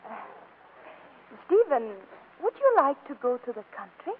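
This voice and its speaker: An actress playing an exasperated, scheming young wife in 1940s screwball radio comedy, scolding or slyly sweet, on a muffled recording. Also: female voice, sits high, big pitch swings, measured pace. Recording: muffled vintage radio broadcast.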